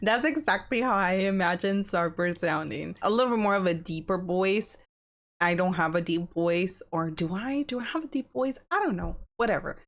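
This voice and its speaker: A woman's voice: fairly low pitch, expressive, normal speed. A woman hosting a reaction channel, recapping a reality show in a sassy, chatty way. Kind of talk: casual recap commentary.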